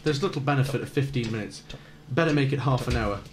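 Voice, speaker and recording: A man's voice: medium pitch, fast talker, background noise, lightly acted. An actor playing a weary, fussy man in a radio play, narrating his thoughts in formal, introspective prose. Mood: weary, grudging. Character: weary, fussy man grudgingly waking too early.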